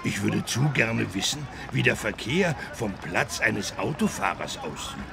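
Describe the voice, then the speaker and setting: A man voicing a big, good-natured talking elephant with a gravelly voice and a simple, childlike, friendly manner in a children's game. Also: fairly low pitch, very animated, a little slow, background noise, full character voice. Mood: wistful. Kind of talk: children's learning game voice acting.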